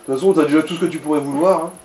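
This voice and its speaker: A man's voice: a little high, steady tone, rapid-fire, a little mellow, a little hiss or hum. A man co-hosting a let's play, chatting casually and with crude banter over the gameplay. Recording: roomy echo, music behind.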